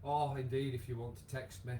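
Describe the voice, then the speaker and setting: A man with a croaky voice, leading a live online exercise class with many fillers. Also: medium pitch, flat delivery, a little fast, background noise. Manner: chatty, encouraging, lots of fillers. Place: home room with wall and bar. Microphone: device camera, moves away at times.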